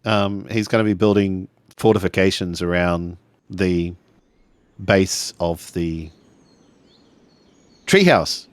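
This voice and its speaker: A man's voice: low voice, takes it slowly, pauses often, no echo. A man playing a tabletop roleplaying game, chatting casually in a joking, rambling way.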